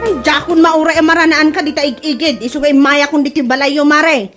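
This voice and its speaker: A woman's voice: sits high, fairly even intonation, a little fast, fairly bright tone, soft background music. A woman acting an aggrieved friend who complains indignantly in an amateur comedy drama. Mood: hurt, accusing. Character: aggrieved woman scolding her friend over an insult.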